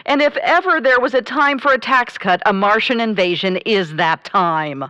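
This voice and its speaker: A woman's voice: fairly high pitch, normal speed, pushed and bright, smooth, dry recording. An actress playing a self-important, folksy female politician in a comedic radio drama. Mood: self-assured.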